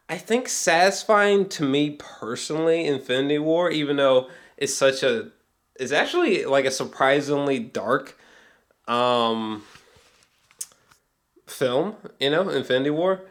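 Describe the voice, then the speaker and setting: A man with a mid-pitched voice talks through an off-the-cuff movie review for a vlog. He takes his time, rambling and restarting his thoughts, often repeating himself. His delivery is that of an excitable fan who swears freely. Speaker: amateur movie reviewer vlogger. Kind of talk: casual unscripted vlog review.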